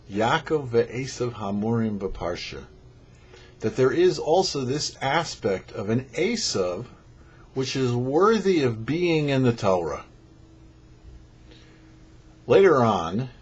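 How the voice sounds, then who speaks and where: A man gives a religious teaching in a husky, mid-pitched voice that moves up and down a great deal. He is didactic, setting up questions and explaining them. The recording is slightly dull and lo-fi. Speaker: rabbi, show host. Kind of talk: religious teaching, prepared commentary.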